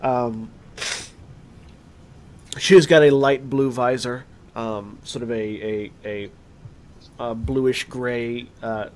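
A man's voice: mid-range voice, fairly even intonation, slow pace, pauses often, darkish tone. A man as game master, describing a scene with many fillers and restarts.

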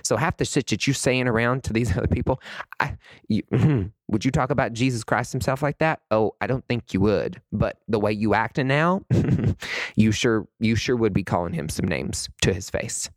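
A man's voice: on the low side, normal speed, no room sound. A young man giving a sassy, emphatic rant to the camera, calling people honey and sweetie. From American South. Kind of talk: impassioned direct-to-camera rant.

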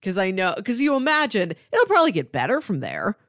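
A woman's voice: medium pitch, huge pitch swings, a little fast, vocal fry, fairly bright tone, no room sound, studio quality. A woman co-hosting a podcast, talking in a casual, conversational way. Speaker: podcast co-host. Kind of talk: casual chat.

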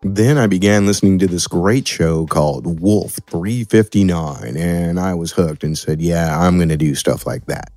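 American man, low-pitched voice, audio producer, explanatory interview answers, faint music behind. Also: moderate pace, hardly any pauses, dark tone, dry recording. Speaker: audio producer and sound designer, podcast guest.

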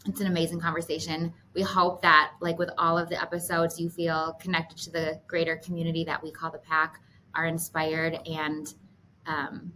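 A woman's voice: low voice, bright, forceful tone, low-quality recording. A woman co-hosting a podcast, chatty and upbeat, with lots of fillers. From Minnesota. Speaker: podcast co-host. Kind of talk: casual podcast chat. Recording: faint background noise.

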